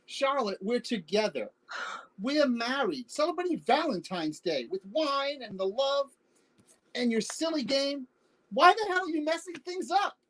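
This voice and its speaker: A woman playing a defensive, evasive older husband, a Vietnam veteran, halting and exasperated in a naturalistic play reading. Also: sits high, very animated, poor audio. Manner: halting, defensive, repeats words. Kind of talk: virtual staged play reading, naturalistic dialogue.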